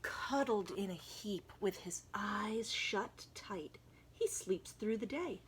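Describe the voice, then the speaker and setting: A woman reading a picture book aloud to children, expressively and dramatically, dropping into hushed tones and chatty asides. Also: medium pitch, slight background noise. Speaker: volunteer children's story reader.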